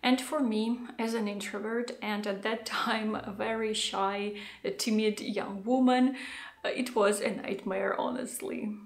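A woman in her thirties talks slowly to the camera from her living room, speaking English as a second language. Her voice is of middle pitch and her delivery is thoughtful and unhurried. The room gives the sound a noticeable echo. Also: fairly even intonation. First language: not English. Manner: thoughtful, unhurried, with soft laughs and gestures. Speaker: vlogger. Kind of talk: casual talking-head vlog. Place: home living room, indoors. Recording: echoey room.

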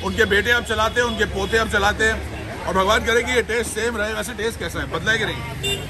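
A man's voice: sits high, fairly quick, background noise. A middle-aged man hosting a food vlog, chatting and joking as he describes the food on an outdoor market street. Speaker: food show host. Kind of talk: casual food vlog chat. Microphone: phone camera at close range. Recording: outdoor street crowd behind.

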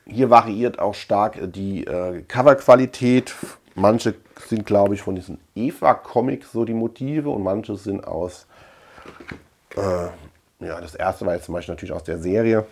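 A man's voice: on the low side, measured pace. A man chatting casually as a video host, rambling colloquially and repeating words for emphasis. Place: small room at home lined with shelves.

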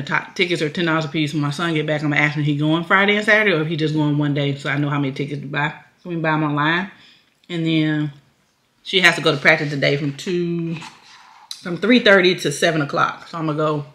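A woman's voice: sits low, quick pace, a bit bright. A middle-aged woman vlogging casually to a phone camera, rambling and chatty, swearing offhand and laughing often. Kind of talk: casual vlog chat to camera.

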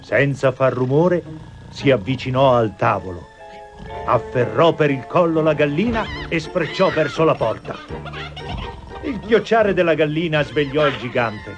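A man's voice: mid-range voice, lively intonation, unhurried. A man reading scripted storybook narration for a cartoon fairy tale. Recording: dull lo-fi TV audio, music behind.

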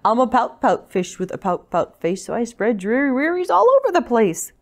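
A woman's voice: on the high side, big pitch swings, normal speed. A woman voicing a glum, mopey male fish from a children's picture book, in a sing-song rhymed lament. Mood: glum, resigned.

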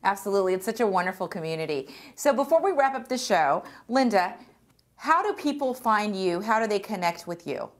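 Woman tv host, chatty, laughs at herself, middle-pitched voice, studio show. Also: animated, fairly quick. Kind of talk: hosting a business interview show.

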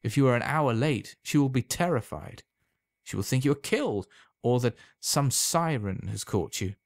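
A man voicing a sly, knowing old potion seller in a storybook reading, unhurried, insinuating and emphatic. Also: mid-range voice, animated, no room sound, voice pushed up, lightly acted.

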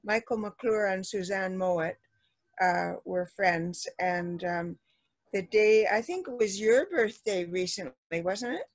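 A woman on a video call sharing memories in a rambling way, with many pauses and restarts. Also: medium pitch, lively intonation, no echo. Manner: rambling, many fillers and restarts, warm recommendations. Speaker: participant. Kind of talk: casual chat on a video call.

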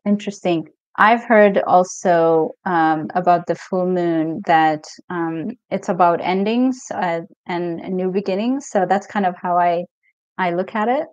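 A woman's voice: lowish voice, measured pace, no echo. Chatty woman on dull, dry stream audio. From Ethiopia. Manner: relaxed, chatty, reads viewer comments aloud with many fillers. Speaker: mental health coach. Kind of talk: live stream Q&A.

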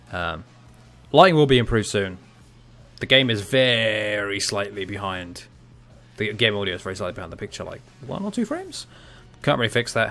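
A young man doing casual, chatty live commentary on a fighting game from a small room at home, shouting out reactions and laughing with viewers. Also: fairly low pitch, creaky. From half Cypriot. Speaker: gaming streamer.

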